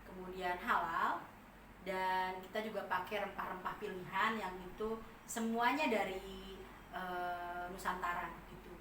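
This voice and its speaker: Lady from pitching her food products casually, a bit far from mic, faint noise. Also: steady tone, measured pace, a bit bright. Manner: informal, explanatory. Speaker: food business owner, podcast guest. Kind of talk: casual promotional interview talk. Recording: echoey room, distant mic, faint noise.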